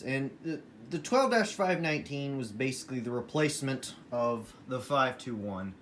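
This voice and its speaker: A man from the United States giving a casual, enthusiastic show-and-tell as a hobby collector, talking in halting phrases with emphatic bursts. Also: medium pitch, fairly bright tone. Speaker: hobbyist collector presenting his weather radios. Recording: noisy room, clear background noise.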